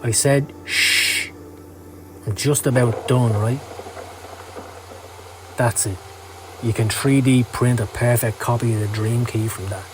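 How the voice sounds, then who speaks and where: A man's voice: mid-range voice, steady tone, slow, pauses often, background noise, natural voice. Foul-mouthed, cynical down-at-heel hustler, acted by a man. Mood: brusque, sarcastic. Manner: slangy, profane, sardonic. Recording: music under the voice.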